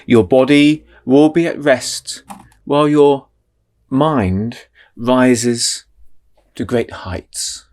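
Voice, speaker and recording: A man leading a guided meditation in a slow, soothing, drawn-out way. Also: medium pitch, darkish tone.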